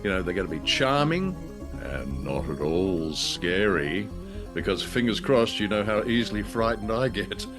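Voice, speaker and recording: A man's voice: lowish voice, lively intonation, moderate pace, over music. A man co-hosting a show, giving a tongue-in-cheek, lightly scripted introduction. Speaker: co-host.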